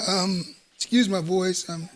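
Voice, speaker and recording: A hoarse man, president of a homeowners association, speaking in support at a city council meeting with hesitant pauses. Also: high-pitched, steady tone, fairly bright tone. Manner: hesitant, many fillers. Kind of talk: public comment at council meeting. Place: council chamber.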